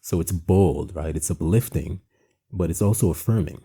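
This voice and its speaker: Guy from jamaica hosting an art show, really low dark relaxed voice, chatty and earnest. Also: normal speed, gravelly, husky. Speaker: art show host and founder. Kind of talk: conversational art presentation.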